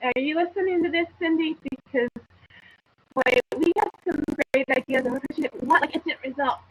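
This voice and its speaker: A woman in her forties hosting an interview, eager and quick with interjections. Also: sits high, poor audio. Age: 40s. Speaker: host. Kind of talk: casual interview conversation. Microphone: online video call. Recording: faint noise on online call.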